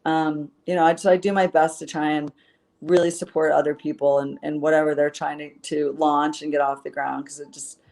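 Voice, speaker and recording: A woman's voice: deep voice, flat delivery, a little fast, gravelly, darkish tone. A woman author being interviewed, earnest and rambling, with plenty of fillers as she talks. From based in Los Angeles. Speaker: author guest. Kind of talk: interview answers.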